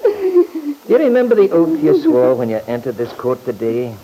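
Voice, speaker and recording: A man's voice: a little high, animated, normal speed, dark tone, close to an everyday voice. An actor playing an insistent, coaxing courtroom lawyer in an old-time radio drama, formal and stammering as he presses. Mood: forceful, pressing. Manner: pressing, formal, repeats himself with stammered lead-ins. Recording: noisy vintage radio recording.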